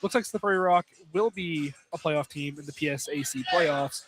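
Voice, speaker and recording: Young man, high-pitched voice, American accent, play-by-play commentator, informal delivery, repeats and corrects himself. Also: moderate pace, rough recording. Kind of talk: casual live sports commentary. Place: live courtside broadcast in a college field house.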